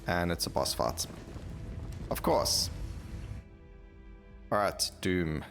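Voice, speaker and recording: A man's voice: sits low, expressive, slow pace, creaky, a bit bright. A man hosting a gaming video, giving casual, conversational commentary and thinking aloud as he plays. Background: game music.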